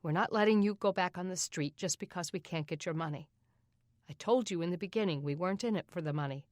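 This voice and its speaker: A woman voicing a kind middle-aged foster father who speaks in a reassuring, steady way. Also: on the low side, fast, dry recording, clean studio sound, natural voice.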